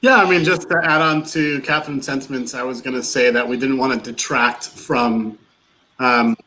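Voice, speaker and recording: A man's voice: mid-range voice, a bit bright, poor audio. Man, mid-range voice, courteous, earnest, considered remarks on a call. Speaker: actor in an online play reading.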